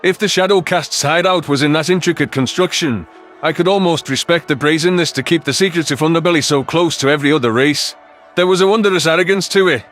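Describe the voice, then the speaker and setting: A man's voice: on the high side, a bit bright. A man reading dramatic first-person science fiction narration as an audiobook narrator.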